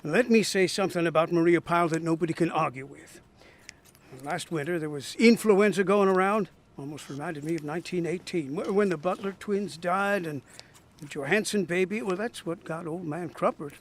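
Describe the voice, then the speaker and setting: An actor playing a genial, bossy 1930s small-town barber in a podcast radio drama. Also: male voice, a little high, normal speed, lightly acted. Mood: storytelling. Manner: hearty, scolding.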